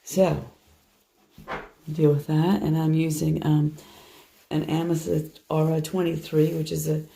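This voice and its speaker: A hoarse woman chatting through a tarot card reading, soft and meandering as she shuffles and lays out cards. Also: low voice, level tone, measured pace, long pauses. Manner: soft, meandering, half to herself while handling cards. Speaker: tarot reader. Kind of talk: casual tarot reading monologue.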